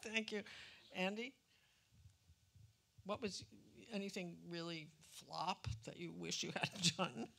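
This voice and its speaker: A woman's voice: fairly low pitch, slow pace, creaky, long pauses, a bit bright. Older woman moderating a panel. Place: museum auditorium stage.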